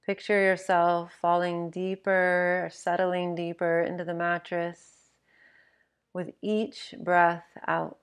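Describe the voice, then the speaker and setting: A woman narrating a guided sleep meditation in a gentle, measured, instructional manner. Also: on the low side, monotone, clean tone.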